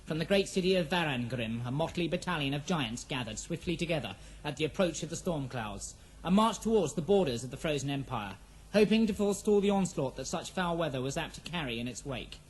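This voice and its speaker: Higher-pitched male fantasy narrator. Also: animated, fairly quick, husky, rough recording. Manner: solemn, literary. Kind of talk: scripted dramatic fantasy storytelling.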